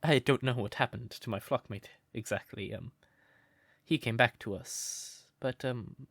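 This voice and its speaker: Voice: mid-range voice, a little slow, creaky, fairly bright tone, no echo. A performer voicing a shy, smitten crow turned human in an intimate comfort roleplay, hesitant and stammering, naively earnest. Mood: uncertain.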